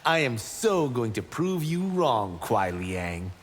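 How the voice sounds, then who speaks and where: An actor playing a cocky, brash young martial-arts monk trading boastful, teasing banter in a video game. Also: male voice, medium pitch, sing-song, takes it slowly, over loud music. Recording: reverberant game audio with background noise.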